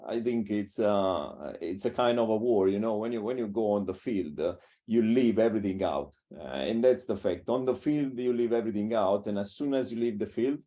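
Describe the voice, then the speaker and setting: Low, mellow Italian-accented man. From Italy. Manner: halting, many filler sounds, non-native phrasing. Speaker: strength and conditioning coach. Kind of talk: casual interview talk.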